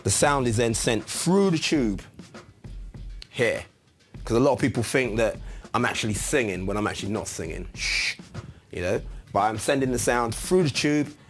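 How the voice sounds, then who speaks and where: A man's voice: medium pitch, lively intonation, moderate pace, long pauses, faint music behind. A man giving an informal, chatty tutorial as a musician, explaining a piece of gear step by step. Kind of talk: casual instructional demonstration.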